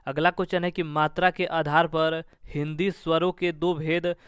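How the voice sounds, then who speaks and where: Clear, higher-pitched man teaching exam questions. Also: level tone, normal speed, dry recording, studio quality. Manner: formulaic, repeats stock phrases between items. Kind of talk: quiz-style lesson, reading questions and answers.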